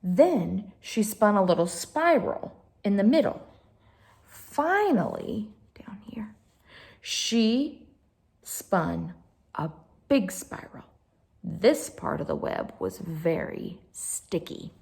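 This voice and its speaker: A woman's voice: medium pitch, huge pitch swings, slow, vocal fry, darkish tone. A woman reading a picture book aloud to children as a storyteller, expressively, with chatty asides to her listeners.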